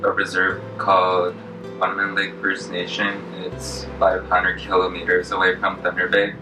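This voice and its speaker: A man's voice: low voice, completely flat delivery, moderate pace. A twenty-year-old man giving short, hesitant interview answers. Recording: roomy, distant mic. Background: soft background music.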